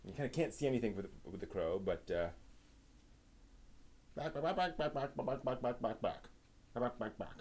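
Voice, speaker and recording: Male streamer, medium pitch, casual and off-the-cuff, halting as he thinks aloud. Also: animated, a little mellow. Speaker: live stream host. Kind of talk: casual, improvised live stream chat.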